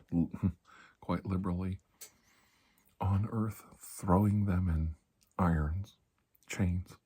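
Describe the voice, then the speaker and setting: Low, husky, soft-spoken man playing a soothing doctor. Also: exaggerated voice. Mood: quietly disapproving. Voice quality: soft, breathy, husky, slipping into whispers. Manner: slow, reassuring, deliberate with long pauses. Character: calm, kindly, ageless male doctor of alien medicine. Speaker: doctor in an ASMR roleplay. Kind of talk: ASMR roleplay, soft-spoken storytelling to a patient.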